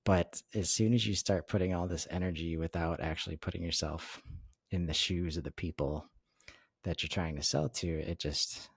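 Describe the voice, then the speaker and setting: A man's voice: low voice, steady tone, moderate pace, creaky, breathy edge, dry recording, clean studio sound. A man co-hosting a business podcast, explaining things informally and conversationally, often restarting his phrases. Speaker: podcast co-host.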